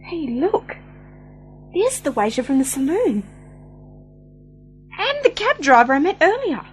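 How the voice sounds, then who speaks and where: A woman's voice: high voice, sing-song, unhurried, faint music behind. A woman playing a spirited, inquisitive and headstrong young woman in an amateur science-fiction radio play. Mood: surprised, inquisitive.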